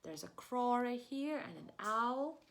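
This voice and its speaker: A woman preschool teacher reading a picture book aloud, encouraging the children and asking them questions with pauses for answers. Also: fairly high pitch, big pitch swings.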